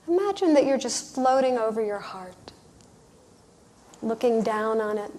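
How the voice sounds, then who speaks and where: Slow, higher-voiced woman guiding a meditation. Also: expressive. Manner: soothing, gentle, speaking in short pausing phrases. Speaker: workshop leader guiding a visualization. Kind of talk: guided meditation, unhurried storytelling instruction.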